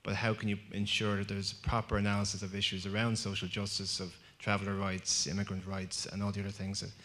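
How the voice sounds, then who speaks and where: A man's voice: on the low side, completely flat delivery, fast talker, pushed and bright. A man asking a long question from the floor at a conference, rambling and searching for words. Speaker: audience questioner. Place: conference function room.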